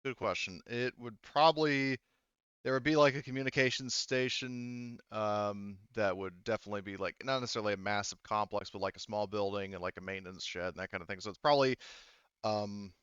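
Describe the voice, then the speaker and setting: A man's voice: lowish voice, fairly even intonation, a little fast, fairly bright tone, clear, resonant tone, dry recording, muffled recording. A man casually moderating a tabletop game as game master, hesitant and thinking aloud as he goes.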